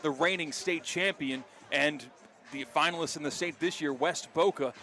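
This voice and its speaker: A man's voice: a little high, normal speed, bright, forceful tone. A man calling a live football broadcast as play-by-play announcer, with emphatic, wordy sportscaster phrasing. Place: outdoor stadium broadcast position. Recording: live stadium broadcast with crowd noise. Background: stadium crowd.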